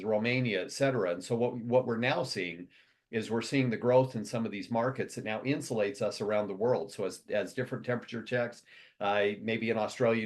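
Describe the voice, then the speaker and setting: A man who runs a company speaking to his members in a motivational address, fast and rambling, with many restarts and long lists. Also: on the low side.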